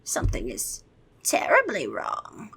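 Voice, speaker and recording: A woman voicing an old male vampire count, dramatic and hungry, in a slow, drawn-out theatrical way, a bit back from the mic. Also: very high voice, huge pitch swings, bright, forceful tone, voice pushed up, full character voice. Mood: brooding.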